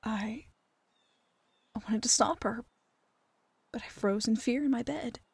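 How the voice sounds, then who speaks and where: A woman playing a troubled young waitress in an audio drama, hushed, breathy and halting, often near a whisper. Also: fairly high pitch, no echo, heavily acted. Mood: shaken, hushed. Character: troubled, wounded young waitress daughter confiding a secret. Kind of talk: audio drama acting, ASMR.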